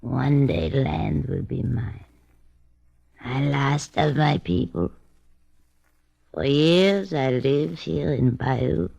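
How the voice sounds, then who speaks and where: An actress playing a vengeful old woman in a radio drama, speaking halting, ominous broken English. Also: very deep voice, lively intonation, vocal fry, mellow, breathy edge, highs cut off, exaggerated voice. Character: old bayou woman, brooding, vengeful, last of her family.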